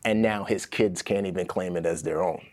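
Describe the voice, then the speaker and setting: This is a man in his forties, born in Nigeria but speaking with an American accent, with a low voice. He tells his family's story as an interview guest. He animates it by acting out the people he quotes. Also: normal speed. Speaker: guest, author.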